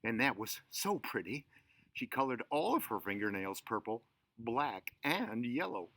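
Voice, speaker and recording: Animated man reading a children's story. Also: mid-range voice, unhurried. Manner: expressive, theatrical delivery. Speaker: storyteller and show host.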